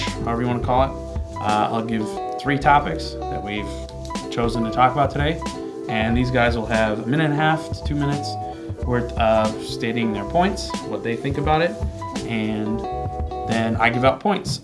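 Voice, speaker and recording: A man hosting and judging a casual gaming discussion show in a conversational style. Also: medium pitch. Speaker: host and judge of a debate show. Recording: music playing behind.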